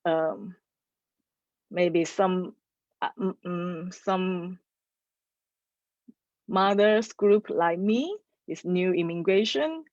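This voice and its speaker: A woman speaking as a panelist on a video call, in halting English with many restarts. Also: lowish voice, a little mellow, dry recording. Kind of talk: online panel discussion. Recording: muffled video call audio.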